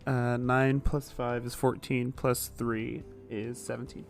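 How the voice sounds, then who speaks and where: A man chats as a player in a tabletop game, loose and conversational. His voice sits at a middling pitch with faint music underneath. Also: flat delivery, slow, creaky. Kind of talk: casual game banter.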